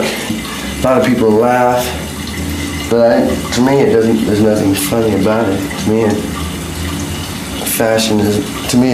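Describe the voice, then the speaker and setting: A young man with a low, monotone voice speaks haltingly, stopping and restarting mid-thought. He sounds like a punk singer giving a casual documentary interview. The room is echoey and music plays behind him. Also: background noise. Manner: halting, searching for words, restarts often. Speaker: punk band founder and singer.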